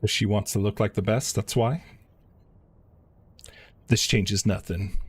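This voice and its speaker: A man live streaming a game, chatting and reading dialogue aloud in a flat, stumbling way. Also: fairly low pitch, slow, husky.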